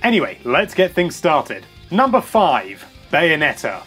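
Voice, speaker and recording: A man's voice: fairly high pitch, wildly swooping pitch, a little slow, a bit bright, husky. A British man hosting a scripted countdown with an enthusiastic, cheeky manner. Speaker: video host. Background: music.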